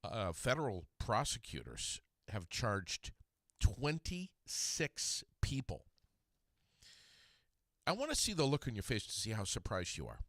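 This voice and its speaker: Lively guy hosting talk radio, low-ish voice that jumps around, dead dry mic. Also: unhurried, no room sound. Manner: animated, rising up on emphasis. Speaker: radio show host.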